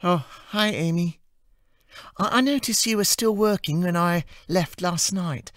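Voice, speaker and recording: A man's voice: high voice, measured pace, a bit bright, dry recording, clean studio sound, pitched above the performer's own voice. A man voicing a cheerful, gossipy young female office assistant in an audiobook, chirpy, breathless and earnest. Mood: cheerful concern.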